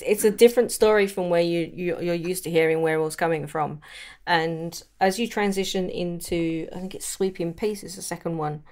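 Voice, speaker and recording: Lower-pitched woman chatting about books. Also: steady tone, normal speed, a little mellow. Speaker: podcast co-host. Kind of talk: casual book discussion.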